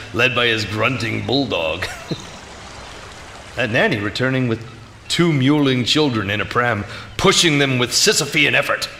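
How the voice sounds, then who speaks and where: An actor playing an exasperated, excitable and dreamy artist in a theatrical, exclamatory stage performance. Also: male voice, medium pitch, full character voice. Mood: musing, animated. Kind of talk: theatrical live stage monologue. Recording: live theatre recording, clear background noise.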